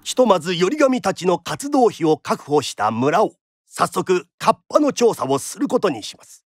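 A man's voice: high-pitched, sing-song, normal speed, dry recording, studio quality. A man narrating a radio drama as a theatrical storyteller with polite phrasing.

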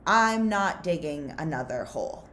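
A woman voicing a quiet, stubborn boy at a work camp in a storybook, terse and halting. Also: sits low, expressive. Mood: defiant.

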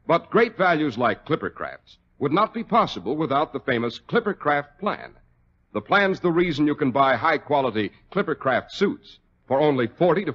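A man's voice: medium pitch, fairly bright tone, husky. A man with an American accent playing a genial, polished announcer and emphatic salesman in a scripted 1940s radio show. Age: young adult. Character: genial announcer visiting an old doctor. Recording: dull, lo-fi 1940s radio recording.

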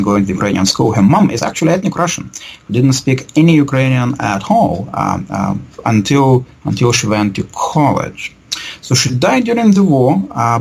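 Gravelly, husky middle-aged man, academic. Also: medium pitch. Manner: measured, academic, hesitant with repeated words. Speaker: history professor being interviewed. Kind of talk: analytical interview answers. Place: book-lined office, indoors. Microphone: video call computer microphone.

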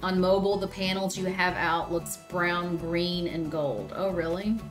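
A woman in her thirties with a Southern US accent, chatting casually on a gaming stream, reading chat aloud and often pausing with ums. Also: lowish voice, steady tone, music in the background. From Southern United States. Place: small room indoors. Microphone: headset.

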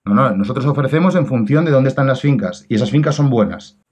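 A man's voice: medium pitch, expressive, fast talker, darkish tone, breathy edge. A man in his thirties with an accent from Spain, chatting casually as a prank caller. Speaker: prank caller and video host. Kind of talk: casual prank phone chat. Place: small tiled room indoors.

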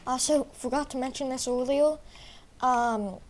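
Sick young girl doing a slow rambly game walkthrough, bit high pitched, faint hiss. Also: steady tone. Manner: rambling, filler-heavy, hesitant. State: sick, voice a little rough. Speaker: gaming YouTuber. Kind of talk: casual gameplay walkthrough.

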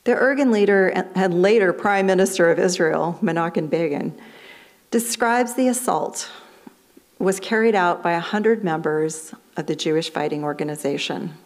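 A woman minister in her fifties with an American accent speaks at a lectern microphone in a fellowship hall. Her voice sits low for a woman, and her delivery is measured and explanatory as she walks through history in a sermon.

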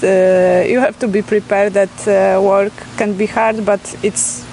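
A young woman from Poland with a Polish accent, a farm intern answering interview questions outdoors with many pauses and fillers. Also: mid-range voice, flat delivery, warm tone, slight background noise. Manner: hesitant, many pauses and fillers, laughs often.

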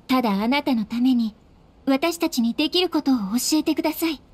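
A woman playing a gentle, dignified young desert guardian in a video game, polite, composed and soothing. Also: high voice, animated, a little fast, husky. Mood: reassuring.

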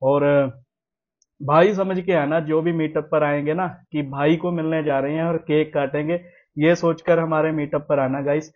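A man's voice: fairly high pitch, fairly even intonation, a little slow, phone-quality sound. A young man hosting a casual home vlog, chatting to viewers and repeating himself often. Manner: chatty, repeats himself, sprinkles English words. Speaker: vlogger host. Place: small room at home, indoors.

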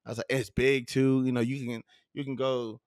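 A man's voice: medium pitch, flat delivery, normal speed, darkish tone, dry recording, clean studio sound. Rambling man hosting a podcast. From southern United States. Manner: loose and rambling, many fillers and restarts. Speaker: podcast host. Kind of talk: casual interview chat. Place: home studio. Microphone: studio mic with headphones.

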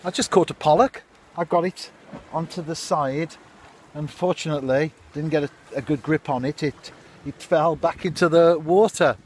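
A man's voice: on the high side, a little mellow, a little hiss or hum. Chatty middle-aged man on seaside rocks. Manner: chatty and unhurried, with asides to the viewer. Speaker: fishing vlogger. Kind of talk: casual outdoor narration to camera. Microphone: phone camera at arm's length.

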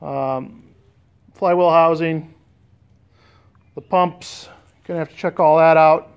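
A man's voice: on the high side, fairly even intonation, slow pace, smooth, highs cut off. An American man giving a casual, unscripted walkthrough as a DIY restorer, hesitant and thinking aloud. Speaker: DIY boat restorer and vlogger. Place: garage with concrete floor. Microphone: handheld camera, operator behind it.

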